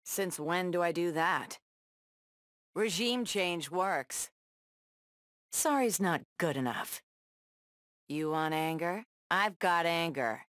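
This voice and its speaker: A woman's voice: on the low side, lively intonation, slow pace, pauses often, a bit bright, no room sound, clean studio sound. A woman playing a tough, sassy superheroine in a video game, trading confident, defiant taunts and wry one-liners. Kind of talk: video game battle banter.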